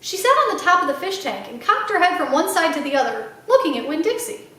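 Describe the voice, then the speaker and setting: High, animated woman reading a children's story. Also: fast, far from the mic. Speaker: library read-aloud storyteller. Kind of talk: expressive children's book narration. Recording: very echoey room, away from mic.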